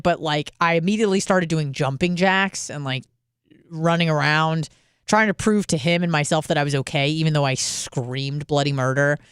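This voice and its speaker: Woman radio host, smooth clear voice, dry close studio sound, rambling and profane. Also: low voice, no room sound. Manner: rambling, profane, frequent fillers. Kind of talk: casual call-in radio talk.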